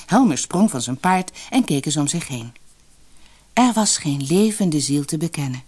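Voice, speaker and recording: A woman narrating a fairy tale audiobook in an expressive, measured, dramatic storybook style. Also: sits low, breathy edge.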